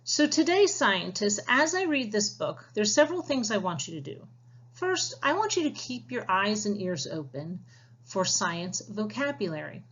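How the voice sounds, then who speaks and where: A woman's voice: mid-range voice, sing-song, moderate pace, husky, dull audio. A woman teacher giving a read-aloud science lesson, addressing children directly with instructions and prompting questions. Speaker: teacher reading a picture book aloud to young pupils.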